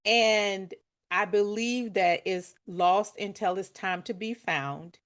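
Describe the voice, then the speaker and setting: A woman hosts a storytelling podcast in a relaxed interview. She chats in an animated way and stretches words out for emphasis. Her voice sits at a middle pitch. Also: slow. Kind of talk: casual interview conversation.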